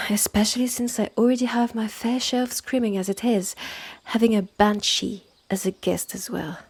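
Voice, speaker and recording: A woman plays a stern witch with a French accent in an intimate audio roleplay. She speaks slowly and deliberately in a creaky voice, haughty and impatient, as if addressing someone far beneath her. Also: mid-range voice, steady tone. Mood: condescending, ominous. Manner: condescending, deliberate, clipped phrases with exasperated sighs. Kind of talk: scripted second-person audio roleplay, close and measured.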